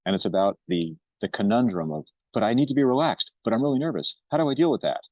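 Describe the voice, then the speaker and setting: A man talks informally to camera in a lowish voice that moves up and down in a lively way. The recording is dull and lo-fi. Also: fast, no echo. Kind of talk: informal personal testimonial to camera. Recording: dull, lo-fi sound.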